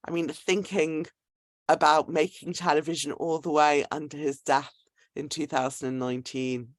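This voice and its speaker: Female event host, low-pitched and articulate, explanatory delivery of a semi-scripted introduction, dry recording. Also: steady tone, moderate pace, dry recording. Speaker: host introducing a guest speaker.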